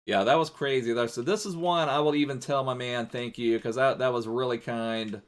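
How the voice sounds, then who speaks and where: A man's voice: medium pitch, fairly even intonation, normal speed. A man streaming a football video game live, chatting casually in a rambling way and thanking viewers as he plays.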